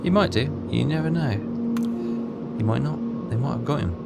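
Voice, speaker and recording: A man's voice: medium pitch, expressive, normal speed, creaky, very noisy. A man giving casual playthrough commentary, reading game text aloud and muttering hesitantly to himself as he puzzles things out. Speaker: gameplay commentator. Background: game music.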